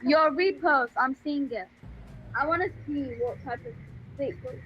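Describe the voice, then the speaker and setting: A woman's voice: sits high, moderate pace, highs cut off, music in the background. A teenage girl with a British accent, chatting casually in a game voice chat, blunt, slangy and teasing with lots of questions. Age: teens. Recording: faint noise, game voice chat.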